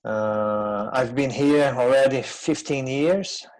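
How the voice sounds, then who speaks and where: A middle-aged man from Brazil, a native Portuguese speaker with a Brazilian accent, gives an informal webinar presentation in a hesitant, conversational way with frequent pauses. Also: medium pitch, darkish tone, poor audio. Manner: hesitant, conversational, pausing often.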